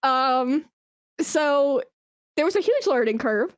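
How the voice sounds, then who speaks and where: A woman chatting casually as an interview guest, telling work stories in a candid, slangy, animated way. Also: high voice, slow pace, dry recording. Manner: candid, animated storytelling with slangy asides and acted-out quotes. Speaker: esports brand manager, podcast guest.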